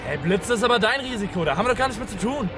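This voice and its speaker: Radio drama: chummy young hustler-manager, quick delivery, high voice, glib slang, in-car sound. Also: male voice, lively intonation, far from the mic, crowd noise. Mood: glib, defensive. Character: quick-witted young wheeler-dealer, self-styled manager, chummy. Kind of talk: naturalistic radio drama dialogue. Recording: inside a car, off-mic, music behind.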